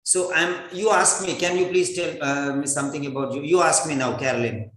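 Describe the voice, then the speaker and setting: A man's voice: fairly high pitch, a bit bright, roomy sound, rough recording. A man in his fifties from India with an Indian English accent, whose first language is Telugu, coaching an online speaking class and calling on students by name. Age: 50s. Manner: brisk, calls names, repeats questions. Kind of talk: online class practice interview.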